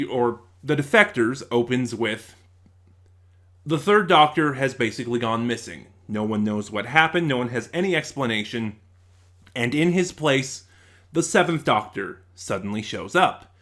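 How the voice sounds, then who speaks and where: A man's voice: medium pitch, fairly bright tone. A man reviewing in a casual, unscripted way, often rephrasing and searching for words. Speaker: YouTube reviewer.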